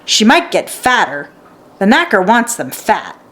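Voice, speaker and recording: A stern, grasping man from a children's storybook, acted out by a woman reader. He speaks in a gruff, curt, dismissive way, with pitch that swings in a lively way. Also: a little slow. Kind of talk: children's storybook read aloud.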